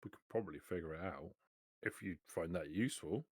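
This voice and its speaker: A man's voice: sits low, a little fast, husky, dry recording, clean studio sound. A man playing in a tabletop roleplaying game, chatting casually in character. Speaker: player.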